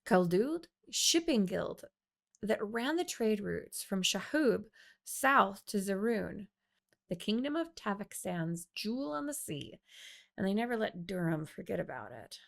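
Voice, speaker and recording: A woman's voice: medium pitch, very animated, a little slow. Foppish guild second-in-command, male, smug and calculating, needling delivery, fantasy story, played by a woman. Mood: persuasive. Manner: smooth-talking, needling.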